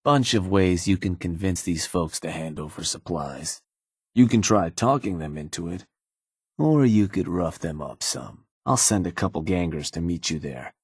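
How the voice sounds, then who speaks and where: A cynical gang advisor from a video game, speaks in a very deep, husky voice. He is laid-back and slangy, with sly, folksy menace as he addresses his boss. The sound is close and dry. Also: animated, moderate pace, dry recording, full character voice. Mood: businesslike, encouraging. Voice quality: husky, low. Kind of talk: video game character dialogue.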